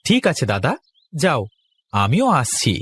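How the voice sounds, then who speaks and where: A man voicing a steady, encouraging younger farmer brother in a village cartoon, measured and reassuring. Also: mid-range voice, breathy edge, exaggerated voice. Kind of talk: Bengali cartoon dubbing.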